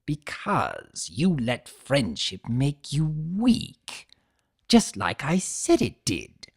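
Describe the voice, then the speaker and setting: A man plays a fallen demonic centaur villain in a dramatic story reading. He speaks very slowly and menacingly, stretching his words with bitter pride and greed. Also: mid-range voice, huge pitch swings, vocal fry, slightly rough, exaggerated voice. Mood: scornful. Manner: slow, menacing, drawn-out delivery. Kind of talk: dramatic fan fiction reading.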